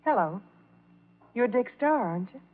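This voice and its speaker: An actress playing a polite, gracious innkeeper's wife in a 1940s radio drama. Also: female voice, a little high, lively intonation, normal speed, close to an everyday voice. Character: beautiful, courteous young wife of an innkeeper. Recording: tinny, noisy old radio recording.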